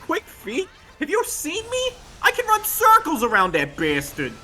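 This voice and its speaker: A performer voicing a brash, cocky young male mercenary who argues and boasts in an excitable way, in a fan story reading. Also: extremely high pitch, unhurried, exaggerated voice. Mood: cocky, indignant. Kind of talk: amateur voice acting in a live fan story reading. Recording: music behind the voice.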